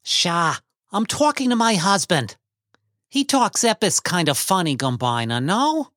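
A man voicing a sharp-tongued, indignant old immigrant wife in a Yiddish-inflected American accent, full of querulous questions, for a story reading. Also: high-pitched, big pitch swings, unhurried, no room sound, studio quality, voice pushed up, exaggerated voice. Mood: nagging. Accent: Yiddish-inflected American English.

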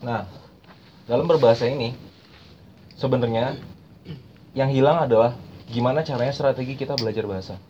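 A man's voice: medium pitch, a little fast, pauses often, mellow. A young man hosting a casual podcast chat, explaining language learning in slang and repeating phrases as he goes. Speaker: podcast host. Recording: muffled lo-fi recording with faint noise.

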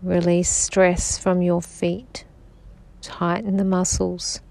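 Slow, low-voiced woman guiding sleep meditation. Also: little pitch movement, creaky. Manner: slow, soothing, soft-spoken guidance. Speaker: meditation guide.

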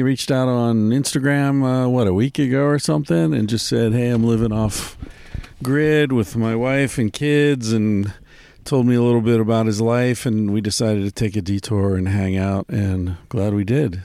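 Laid-back male host, dark mellow tone, easygoing and chatty. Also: mid-range voice, moderate pace, vocal fry, clean tone, dry recording. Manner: relaxed, rambling, with many restarts. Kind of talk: casual interview chat. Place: front seat of a parked van, door open, rain.

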